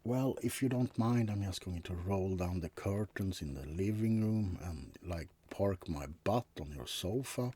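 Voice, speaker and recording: Friendly centuries-old male vampire played by a man for ASMR roleplay: soft, breathy, unhurried, swinging delivery, slangy and hesitant. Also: low-pitched, lively intonation. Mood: friendly, easygoing. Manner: rambling, slangy, hesitant, chuckling asides. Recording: faint background noise.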